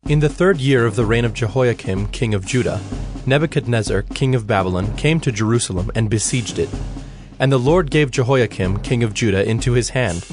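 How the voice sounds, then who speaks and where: A man narrating a scripted, dramatized scripture reading in a measured, solemn manner. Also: medium pitch. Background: instrumental music.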